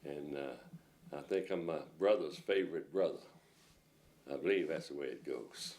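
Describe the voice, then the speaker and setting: A man's voice: very deep voice, normal speed, a little mellow. A man in his seventies, joking and teasing about his brothers in an oral history interview. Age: 70s.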